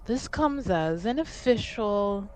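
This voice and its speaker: A woman voicing a weary, formal noblewoman in a tabletop game, with a measured, guarded and exasperated manner. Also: medium pitch, lively intonation, voice pushed up. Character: weary, formal noblewoman acting for her house.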